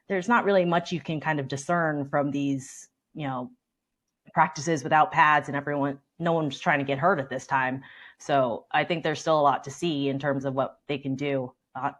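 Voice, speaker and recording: A woman with a notably low voice joins a sports discussion as a guest writer. Her delivery is conversational analysis of the game. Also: fairly even intonation, fairly quick.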